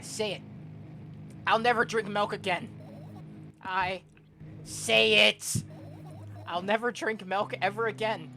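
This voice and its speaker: A woman's voice: mid-range voice, steady tone, slow, creaky, long pauses, pushed and bright, faint music behind, pitched above the performer's own voice, heavily acted. A woman voicing a distressed, overwrought girl character from a visual novel game. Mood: anguished, intense. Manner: dramatic, overwrought. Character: distressed girl forced to swear off milk.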